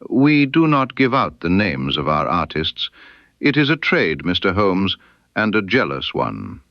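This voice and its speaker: Man reader doing obsequious male proprietor, guarded, formal, gravelly low voice, storybook mystery. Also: wildly swooping pitch, unhurried, no echo, dull audio, full character voice. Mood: guarded, defensive. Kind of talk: audiobook character dialogue.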